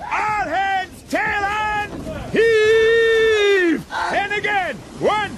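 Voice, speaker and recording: A brutal radio-play ship's captain, bellowing orders in a high, strained shout. His commands come slow and heavy, over a loud clamour of deckhands. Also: over a noisy crowd, exaggerated voice. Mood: commanding, fierce. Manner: barking commands. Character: rough shouting sea captain bullying his crew. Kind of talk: radio drama acting. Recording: noisy shouting scene with crew hubbub.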